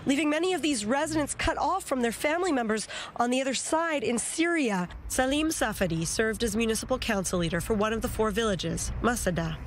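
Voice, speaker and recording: A woman news reporter delivering a measured field report, speaking outdoors near a village border fence. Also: fairly high pitch, lively intonation, slight background noise.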